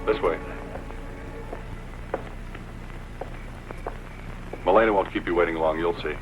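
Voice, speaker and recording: A man's voice: sits low, racing, soft background music, close to an everyday voice. A man dubbing a pompous but obliging castle chamberlain in a costume film, with a florid, ceremonious manner, on a dull film soundtrack. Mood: sly, obliging. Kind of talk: dubbed costume-film acting.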